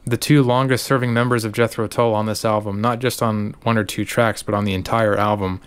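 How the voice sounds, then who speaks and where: Man, smooth clear voice, easygoing, lots of fillers, podcast co-host. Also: lowish voice, fairly even intonation, brisk. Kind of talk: casual chat.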